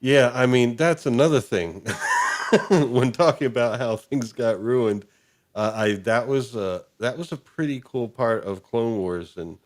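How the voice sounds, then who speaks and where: A man hosting a podcast, chatting and interviewing in an informal, halting, agreeable manner. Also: medium pitch. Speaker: podcast host. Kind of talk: casual, conversational interviewing.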